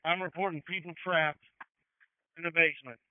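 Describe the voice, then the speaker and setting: A man's voice: a little high, steady tone, moderate pace, clean tone, no echo. A man serving as incident commander, giving terse, procedural orders over fire radio. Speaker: battalion chief, incident commander. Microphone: two-way emergency radio. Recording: tinny, narrow-band fire radio transmission.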